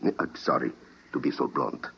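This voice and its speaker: A man acting a grave older council president and former scientist, formal and deliberate, in a 1950s radio drama. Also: rumbling low, creaky, breathy edge, exaggerated voice. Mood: formal, solemn. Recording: muffled old radio recording.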